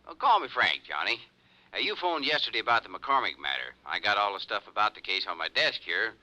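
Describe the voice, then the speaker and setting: A man's voice: on the low side, big pitch swings, a little fast, sharp tone, heavily acted. An actor playing a friendly, easygoing insurance company man in a 1950s radio drama.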